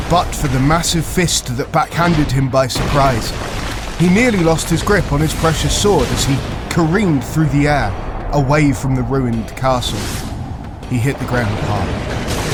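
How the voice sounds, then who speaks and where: A man narrating a dark fantasy audio story in a deliberate, suspenseful storytelling style. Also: mid-range voice. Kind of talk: dramatic scripted fantasy narration.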